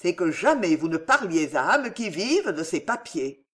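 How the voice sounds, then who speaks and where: A woman's voice: lowish voice, sing-song, a little slow. A woman voicing a courteous, resolute young male chevalier in an audiobook story, formal and probing. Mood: firm. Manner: formal, polite, questioning. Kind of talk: storybook character acting.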